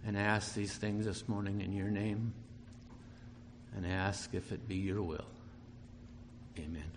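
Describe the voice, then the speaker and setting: A man's voice: on the low side, flat delivery, a little slow. A man leading a solemn public prayer as a church prayer leader. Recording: noisy hall with long reverb.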